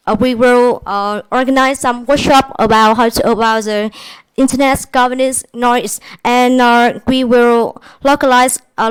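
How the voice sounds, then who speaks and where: A young Vietnamese woman with a Vietnamese accent presenting at a workshop in halting non-native English. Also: a little high, smooth, poor audio. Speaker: youth forum presenter.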